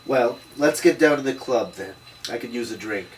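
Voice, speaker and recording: A man's voice: medium pitch, animated, normal speed, lightly acted. A man playing a world-weary, middle-aged 1930s detective, dryly delivering terse hardboiled lines at a table read. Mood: dry, wry. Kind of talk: noir voice-over and dialogue at a table read. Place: indoor table read, recorded on camera. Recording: distant mic, faint room noise.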